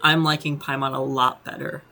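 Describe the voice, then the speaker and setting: A woman doing casual playthrough commentary in a very low voice with plenty of vocal fry. She talks informally, like a streamer thinking aloud, with soft game music behind her. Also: level tone, normal speed. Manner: informal, sweary.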